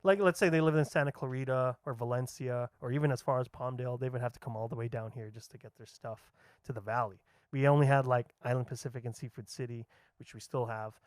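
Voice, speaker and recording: A man's voice: medium pitch, fairly even intonation, quick pace, clean tone, dry recording. A man in a casual podcast chat, rambling along with lots of fillers and restarts. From United States.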